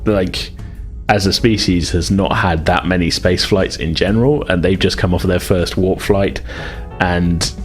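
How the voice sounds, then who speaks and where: A man's voice: deep voice, steady tone, unhurried, faint music behind. A man acting as game master, casually improvising narration in informal, hedging, stop-start phrases.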